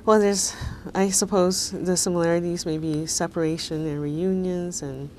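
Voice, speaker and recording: A woman hosting an interview, mostly listening quietly and asking questions. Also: lowish voice, steady tone, moderate pace, a little hiss or hum, rough recording. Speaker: host.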